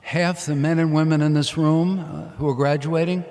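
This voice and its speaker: A man's voice: fairly high pitch, steady tone, moderate pace, a little mellow. A man giving a motivational commencement speech in emphatic short, repeated phrases. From Queens, New York, United States. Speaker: commencement speaker. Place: large indoor arena at a commencement. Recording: echoey large arena, long reverb.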